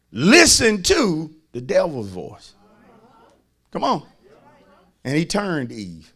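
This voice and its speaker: An American man preaches as a pastor in a high, raspy voice with a forceful edge. His delivery is slow and emphatic, full of folksy repetition. It swings widely between hushed asides and full-throated shouting. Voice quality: raspy, gravelly. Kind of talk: fervent sermon.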